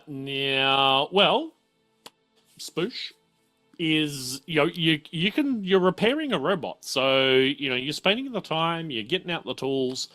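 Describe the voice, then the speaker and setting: A man running a tabletop game as game master, casual and easygoing, talking in halting bursts. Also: a little high, pushed and bright. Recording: faint music behind. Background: faint music.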